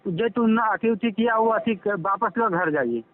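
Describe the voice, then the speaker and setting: A man's voice: high-pitched, normal speed. A man arguing casually in a private phone call, coaxing insistently and repeating his phrases. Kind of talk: private phone argument, colloquial. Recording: narrow tinny phone line.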